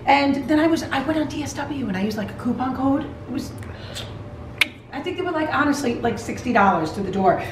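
A woman's voice: sits high, animated, brisk, background noise. A woman in her forties, the vlogger's mother, chatting enthusiastically at home in an echoey room. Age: 40s. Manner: gushing, enthusiastic. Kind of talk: casual family chat.

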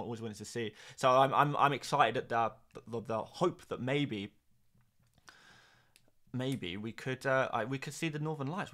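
A young man hosting a livestream, chatting casually and reading out viewer comments in a playful, rambling way. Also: mid-range voice. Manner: playful, rambling, lots of restarts and laughs. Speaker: livestream host.

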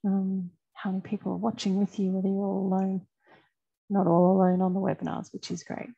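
A woman's voice: lowish voice, creaky, warm tone, husky. A woman on a headset introducing a webinar in a chatty, informal way, with fillers. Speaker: webinar moderator. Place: indoor room, on a video call. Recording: dull, lo-fi video call audio.

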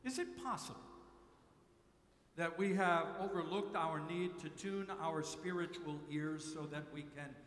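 An older man preaching a sermon from a pulpit, with measured, slowly repeated emphasis. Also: a little high, fairly bright tone. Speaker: pastor. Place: large church sanctuary at a pulpit. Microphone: pulpit mic, far camera. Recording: echoey church hall.

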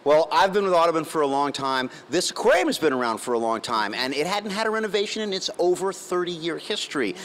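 A man's voice: a little high, sing-song, fast, few pauses, fairly bright tone, a little hiss or hum. A middle-aged man who works as an aquarium expert, explaining the exhibits with enthusiasm into a reporter's handheld mic.

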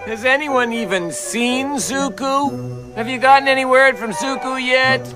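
A worried cartoon forest critter. The male voice is high and whiny, full of impatient questions, with very loud music underneath. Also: a little slow. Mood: worried grumbling. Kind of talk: English-dubbed cartoon acting.

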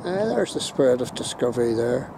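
A man's voice: medium pitch, big pitch swings, a little fast, vocal fry. A middle-aged man with a Northern Irish accent, chatting casually as he films from a windy hilltop, rambling with fillers and correcting himself. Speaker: vlogger. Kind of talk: casual walking commentary. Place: outdoors on a windy hilltop viewpoint. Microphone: handheld phone camera. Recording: wind noise on a phone mic outdoors. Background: wind.

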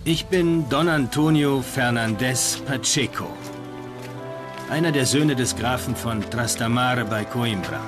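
A man's voice: medium pitch, unhurried, natural voice. A man acting a solemn young medieval knight. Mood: solemn, resolute. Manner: solemn, formal, old-fashioned phrasing. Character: young landless medieval Portuguese knight, devout and ambitious. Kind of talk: first-person dramatized documentary narration. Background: loud music.

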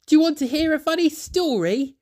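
A storybook narrator voicing a small, chatty female engine in a very high voice. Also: lively intonation, a little fast, darkish tone.

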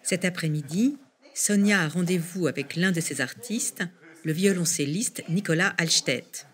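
A woman's voice: on the low side, unhurried. A woman presenter reading scripted documentary narration in French.

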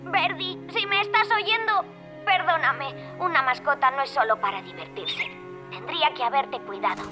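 A woman voicing an earnest, eager young girl superhero in a children's cartoon, expressive and full of exclamations. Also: sits high, normal speed, music in the background. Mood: remorseful. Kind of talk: animated children's cartoon dub.

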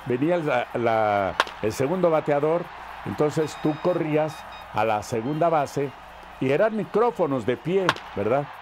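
A man's voice: on the high side, very animated, unhurried, noisy background. An older man recalling his radio days conversationally in an interview. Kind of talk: conversational reminiscence. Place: book-lined study indoors.

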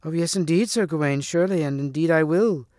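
A loathly old storybook hag, acted out by a man, speaks in a shrill, cracked voice pitched well up. She is imperious and eager to bargain, with archaic turns of phrase. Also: fairly even intonation, normal speed, smooth, dry recording, clean studio sound, natural voice. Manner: archaic, commanding speech.

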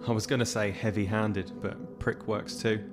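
Weary young soldier played by a male actor, low, dry and sarcastic, audio drama with music underneath. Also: steady tone, slow pace. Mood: wry, matter-of-fact.

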